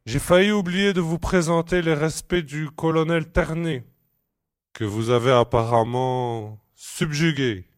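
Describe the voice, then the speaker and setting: A man playing a courteous, commanding space admiral in a science fiction audio drama, speaking formally and in measured phrases. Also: on the high side, no echo, clean studio sound, lightly acted. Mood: warmly approving.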